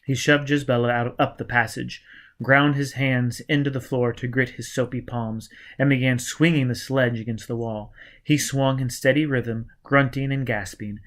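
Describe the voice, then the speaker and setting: A man's voice: mid-range voice, fairly even intonation, normal speed, a bit bright. Male audiobook reader, middle register, for dramatic narration of adventure fiction. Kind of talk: scripted dramatic narration of a novel.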